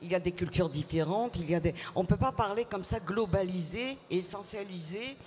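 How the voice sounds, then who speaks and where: A woman's voice: lowish voice, animated, crowd noise. Reflective woman author answering questions. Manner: reflective, measured, many hesitations and repeated words. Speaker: author being interviewed. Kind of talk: thoughtful interview answers. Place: book fair at a city hall.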